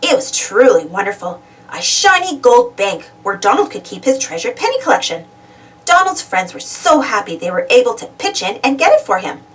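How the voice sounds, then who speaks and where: Woman, fairly high voice, children's read-aloud, expressive narration, slightly distant microphone. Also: moderate pace. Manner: expressive, dramatic storytelling. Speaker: storybook reader.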